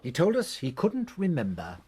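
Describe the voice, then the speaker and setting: An actor playing an earnest, humane doctor and detective's companion in a radio play, measured and sober. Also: male voice, a little high, huge pitch swings, dark tone, husky, exaggerated voice. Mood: earnest explaining. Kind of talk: radio drama acting.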